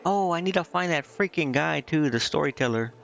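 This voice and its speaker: A man giving casual game commentary as a streamer, rambling and thinking out loud. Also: a little high, animated, fairly quick, a faint crowd. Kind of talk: casual gameplay commentary, reading game dialogue aloud. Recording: muffled mic with clear background noise.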